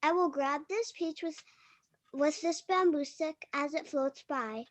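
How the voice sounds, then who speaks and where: Girlish woman doing an amazed old wife in a folktale play, really high voice, slow halting reading. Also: no echo. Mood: amazed, eager. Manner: halting, careful reading with stumbles over Japanese words. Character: poor old woodcutter's wife, amazed and eager. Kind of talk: amateur dramatic reading of a folktale.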